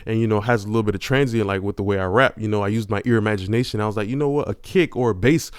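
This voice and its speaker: A man runs a casual mixing tutorial in a relaxed, slangy way, with plenty of "you know" fillers. His lowish voice comes through smooth and crisp on a large-diaphragm condenser. The sound is dry and close, with little room around it. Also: racing, dry recording. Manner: laid-back slangy delivery with many fillers. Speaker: YouTube host teaching vocal mixing. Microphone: large-diaphragm studio condenser.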